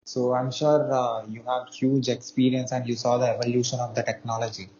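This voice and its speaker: A man hosting an interview, asking short, halting questions with fillers. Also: medium pitch, flat delivery, a little mellow, slight background noise. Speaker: interview host. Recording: dull lo-fi sound.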